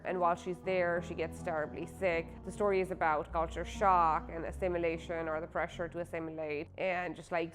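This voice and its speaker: A woman author from Pakistan, talking casually in an interview about her writing with many fillers. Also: on the low side. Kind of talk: interview, personal story.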